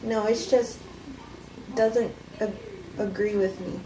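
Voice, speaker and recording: A woman's voice: mid-range voice, steady tone, slow, close to an everyday voice. Casting: amateur woman as hesitant, guarded woman in a drama read-through, off-mic, noisy room. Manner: hesitant, understated. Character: quiet, wary woman hosting a killer. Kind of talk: amateur cold table read of a script. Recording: distant, echoey room with clear noise.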